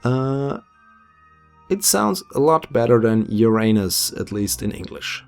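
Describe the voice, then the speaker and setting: A man's voice: medium pitch, very animated, a little slow, creaky, darkish tone. A young man with a German accent, chatty and self-deprecating, narrating his space game as commentator over his footage. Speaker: gameplay commentator. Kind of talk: casual gameplay commentary. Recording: voice-over with faint background music.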